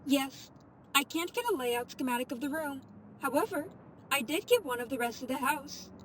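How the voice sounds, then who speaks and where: A woman's voice: high voice, animated, normal speed, fairly bright tone, slight background noise. A woman voicing a composed, formal AI assistant in an audio drama, giving information in a precise, matter-of-fact way. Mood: calm, informative. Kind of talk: audio fiction dialogue.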